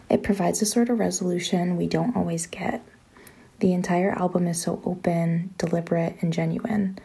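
A woman with a very husky voice and plenty of vocal fry reads a soft, earnest message. Her tone is dark and mellow. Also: fairly low pitch. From New York. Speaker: podcast listener sending a voice message. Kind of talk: reading a prepared listener message.

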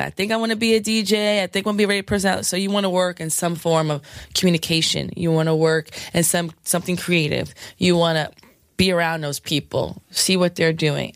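A woman DJ with a scratchy, hoarse voice, chatting frankly in an interview with lots of fillers and laughs. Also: low voice, no echo, studio quality. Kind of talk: candid casual interview talk.

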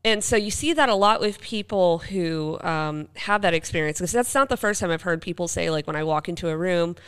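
A woman's voice: fairly low pitch, a little fast, clear, resonant tone. A woman podcast co-host chatting casually and telling anecdotes, acting out bits of dialogue as she goes. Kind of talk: casual chat, anecdotal storytelling.

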